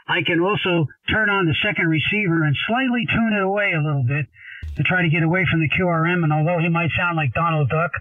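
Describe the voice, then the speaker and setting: An older man chatting casually as a ham radio operator, explaining things in a rambling, halting way with repeated words. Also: fairly high pitch. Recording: roomy, narrow-band radio sound, distant.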